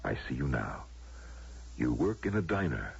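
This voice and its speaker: An actor playing a solemn, knowing man who grants wishes, gravelly and persuasive in a radio drama style. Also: extremely low pitch, sing-song, full character voice. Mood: solemn, hypnotic. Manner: measured, grave, persuasive, theatrical. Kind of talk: American radio drama acting. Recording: muffled, lo-fi old radio broadcast.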